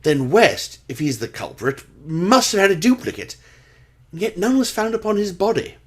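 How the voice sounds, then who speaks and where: A man acts an earnest gentleman who is both sidekick and narrator of a radio mystery. His husky voice is a little high and moves in lively swings of pitch. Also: normal speed, exaggerated voice. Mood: inquiring. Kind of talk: radio play acting and narration.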